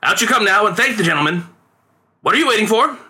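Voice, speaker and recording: A man's voice: high-pitched, animated, a little fast, pushed and bright, voice pushed up. A man voicing an aging street conjurer and father in a storybook tale, melodramatic, wily and given to pleading. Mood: commanding. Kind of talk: storybook character voice.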